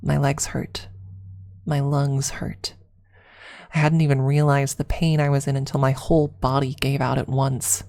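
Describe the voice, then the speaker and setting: A woman reading a first-person story aloud as ASMR, softly and deliberately, with dramatic pauses and some near-whispered phrasing. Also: very deep voice, level tone, creaky.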